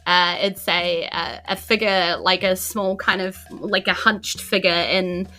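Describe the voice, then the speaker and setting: A woman with a New Zealand accent narrating a tabletop game as game master, in an informal, halting, descriptive way. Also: on the low side, steady tone, bright, forceful tone, faint music behind, low-quality recording.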